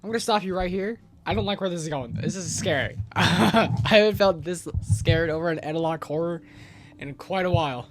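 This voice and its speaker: A man's voice: a little high, animated, brisk, a little hiss or hum. A man giving casual, slangy reaction commentary on a horror video in an informal, uneasy manner.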